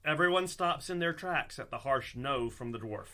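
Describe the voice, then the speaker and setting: A man as a wry storyteller narrating a tavern fantasy comedy. His voice is mid-pitched, with clear noise behind it. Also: animated, normal speed, natural voice. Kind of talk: scripted narration of a comedy radio play. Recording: clearly noisy background.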